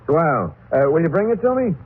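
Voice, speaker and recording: An actor playing a guilt-haunted, nervous murderer in an old-time radio horror drama, speaking in halting, stammering phrases. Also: male voice, fairly high pitch, very animated. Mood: anxious, scheming. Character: guilt-haunted, jittery murderer narrating his crime. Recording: noisy, muffled old radio broadcast.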